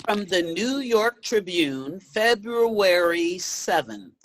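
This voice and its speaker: Woman reading as slow, stern newspaper voice. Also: fairly low pitch, big pitch swings, dry recording, heavily acted, rough recording. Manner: deliberate, emphatic. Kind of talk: readers' theater recitation.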